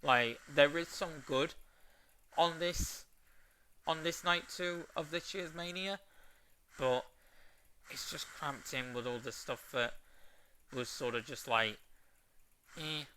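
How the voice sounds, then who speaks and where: A man giving a casual review, unhurried and trailing off into fillers as he weighs his opinions. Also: on the high side, pushed and bright, slight background noise. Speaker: wrestling show reviewer.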